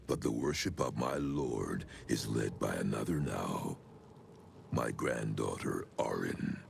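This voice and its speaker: An older man playing an ancient, sinister cult leader in a video game, with a very deep gravelly voice and a solemn, measured, archaic delivery. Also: a little hiss or hum, exaggerated voice. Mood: grim pride. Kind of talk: dramatic video game monologue.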